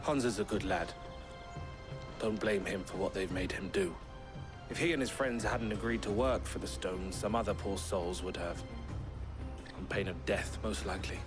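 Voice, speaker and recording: A man's voice: sits low, normal speed, pauses often, music in the background, lightly acted. An actor playing a self-assured, protective and plain-spoken swordsman in a video game, assertive and articulate. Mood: grave, earnest.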